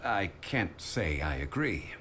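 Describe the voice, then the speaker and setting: A male actor voicing a calm, refined, erudite gentleman in a video game, speaking in measured formal phrases. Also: deep voice, breathy edge, heavily acted. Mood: calmly reasoning.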